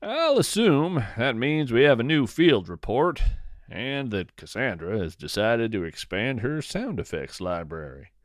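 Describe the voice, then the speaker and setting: Unhurried man telling stories with lively pitch swings. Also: no room sound. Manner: wry, conversational asides. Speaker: podcast host and narrator. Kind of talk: scripted first-person narration of whimsical nature fiction.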